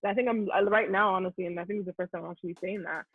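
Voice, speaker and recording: A woman's voice: mid-range voice, fairly even intonation, racing, no echo. A Nigerian woman guest, a content creator, chatting openly in an interview and often restarting her sentences. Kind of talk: casual interview chat. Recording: tinny phone-like line.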